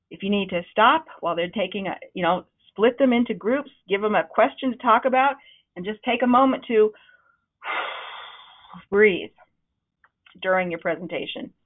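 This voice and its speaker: A woman's voice: medium pitch, lively intonation, moderate pace, pauses often. Woman on tinny webinar audio. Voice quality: loud, projecting voice. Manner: conversational, encouraging, with frequent ums. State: voice starting to wear out. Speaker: presentation trainer. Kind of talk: webinar talk. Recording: narrow, phone-like webinar audio.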